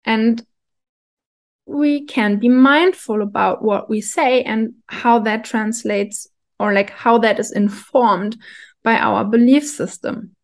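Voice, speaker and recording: Woman, kinda high voice, talks real slow and thoughtful, little soft laughs. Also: steady tone. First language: German. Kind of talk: reflective solo talk.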